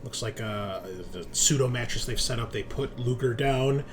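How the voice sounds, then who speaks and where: A man running a tabletop roleplaying game, narrating and explaining rules in a halting, think-aloud way. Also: mid-range voice. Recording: clear background noise.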